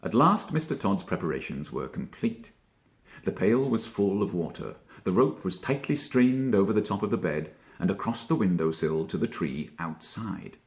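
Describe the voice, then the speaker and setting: Deep, animated man reading a storybook. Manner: expressive, deliberate, drawing out key words. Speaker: storybook reader, stage actor. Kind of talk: theatrical children's story narration.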